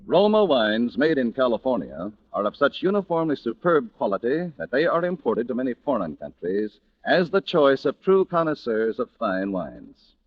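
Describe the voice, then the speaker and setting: A man's voice: mid-range voice, sing-song, normal speed, hardly any pauses, dry recording. A man reading a scripted 1940s radio commercial as a polished, formal announcer. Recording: dull, lo-fi vintage radio recording.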